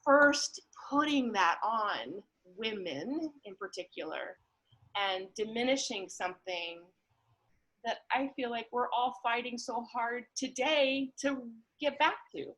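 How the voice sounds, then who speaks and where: A woman's voice: on the high side, expressive, slow, a bit bright, rough recording. A woman talking reflectively in casual conversation, thoughtfully searching for her words.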